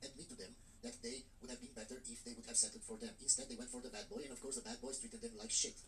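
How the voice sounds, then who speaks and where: Fast blunt man with an Eastern European accent. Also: mid-range voice, a bit bright, recorded from a distance, a little hiss or hum. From Romania. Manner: blunt, mocking, repeats his points. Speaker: streamer host. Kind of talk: reaction stream commentary.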